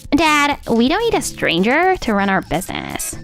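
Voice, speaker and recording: High, creaky, snobbish young woman played by an actress. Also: wildly swooping pitch, normal speed, a bit bright, soft background music, full character voice. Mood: smug. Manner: dismissive, theatrical. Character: haughty, snobbish younger sister, a supermarket sales rep. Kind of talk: expressive manga dub voice acting.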